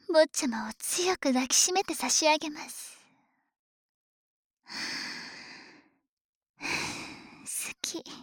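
A woman plays a devoted dragon maid in an intimate ASMR drama, high in pitch and unhurried. Her servant's politeness is possessive and seductive, coaxing the listener and often falling to a whisper. The sound is dry and very close to the microphone. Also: fairly bright tone, dry recording, exaggerated voice. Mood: adoring, coaxing.

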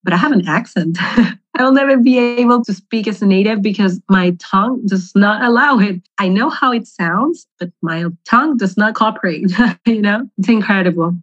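Woman from latin america, lively casual chat, dry dull video-call sound. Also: medium pitch, normal speed, no echo. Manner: lively, laughs easily, says "you know" a lot. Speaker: guest.

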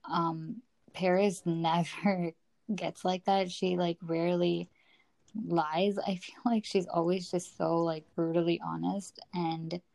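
A woman's voice: fairly low pitch, little pitch movement, slow pace, gravelly, darkish tone, dry recording. A young woman co-hosting a podcast, chatting casually and a little hesitantly with plenty of "like" and "you know". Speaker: podcast co-host. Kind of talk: casual TV recap discussion.

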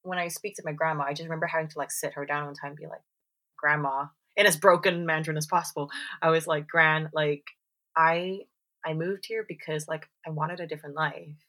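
A woman's voice: low voice, fairly even intonation, fairly quick, pushed and bright. A woman chatting casually on a podcast, full of fillers and "like"s, who acts out the voices she quotes. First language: English. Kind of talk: casual chat.

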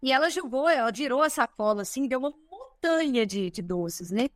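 A Brazilian woman in her thirties talks on a podcast with a high, bright-sounding pitch. Her delivery is lively and chatty, full of quick asides and confirming tags. The sound is close and dry, with no room echo. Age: 30s. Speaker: podcast guest. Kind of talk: casual chat.

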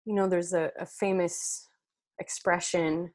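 A woman's voice: lowish voice, level tone, a little slow, darkish tone, dry recording, clean studio sound. A French Canadian woman whose first language is French, chatting as a podcast guest with many fillers and restarts. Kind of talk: casual podcast chat.

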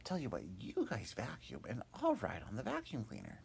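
Man voicing a perky stuffed bunny girl, high and swooping, for a kids' bedtime story. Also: wildly swooping pitch, brisk, gravelly, background noise. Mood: musing.

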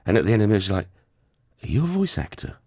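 A man co-hosting a casual radio chat, a bit croaky after the flu, telling rambling stories with lots of fillers. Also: fairly low pitch, big pitch swings, quick pace, a little mellow. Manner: playful, rambling storyteller with many fillers. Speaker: radio co-host, voice actor.

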